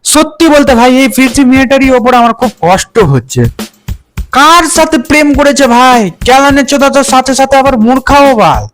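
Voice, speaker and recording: A man's voice: very high-pitched, fairly quick, fairly bright tone. A man delivering a mocking meme-review roast, full of crude slang and sarcastic teasing. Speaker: roast video host. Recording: music bed under voice.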